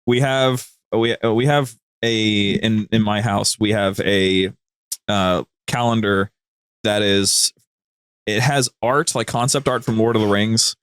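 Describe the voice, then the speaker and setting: A man's voice: lowish voice, steady tone, slow, fairly bright tone, clean tone, dry recording, studio quality. A man chatting casually as a podcast guest, telling stories in an informal, rambling, slangy way. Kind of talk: casual, anecdotal chat.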